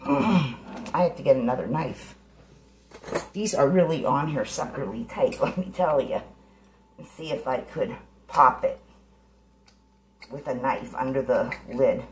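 A woman's voice: extremely low pitch, measured pace, pauses often. A middle-aged woman chatting her way through a recipe in her home kitchen, giving homey step-by-step instructions. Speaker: home cook, channel host. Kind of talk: casual cooking demonstration. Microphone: camera sitting on the counter. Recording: echoey kitchen, faint clatter of pots.

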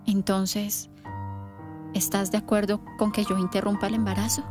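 A woman's voice: medium pitch, little pitch movement, normal speed, a little mellow, faint music behind, close to an everyday voice. An actress playing an anxious, earnest young Colombian woman in a radio soap opera. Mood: sorrowful, hesitant. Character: distressed young pregnant woman, anxious yet determined.

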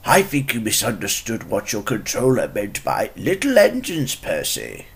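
A man voicing a thin, gentle older clergyman from a children's cartoon, hesitant and apologetic. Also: mid-range voice, wildly swooping pitch, moderate pace, vocal fry, breathy edge, exaggerated voice.

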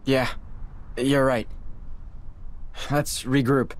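A man's voice: mid-range voice, level tone, drawn-out words. A man playing an earnest, brainy teenage boy hero in a video game, with an emotional manner. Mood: subdued.

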